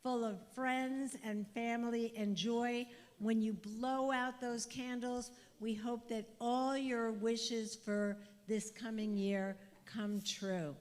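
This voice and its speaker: A woman's voice: fairly high pitch, steady tone, slow pace, hardly any pauses, darkish tone. A woman leading a congregation's Shabbat service, welcoming and upbeat as she calls people forward. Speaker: service leader. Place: synagogue sanctuary.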